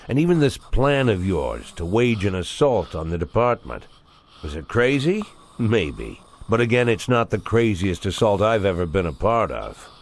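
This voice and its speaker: Deep-voiced, menacing police boss. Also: male voice, darkish tone, full character voice. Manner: mocking, measured monologue with rhetorical questions. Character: sardonic, menacing male police boss, profane and contemptuous. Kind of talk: dramatic video game cutscene acting.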